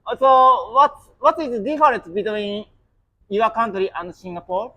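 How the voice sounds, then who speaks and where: A man's voice: sits high, normal speed, pushed and bright, muffled recording. A Japanese man with a Japanese accent, interviewing people on the street with short polite questions and many thank-yous. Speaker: street interviewer and channel host.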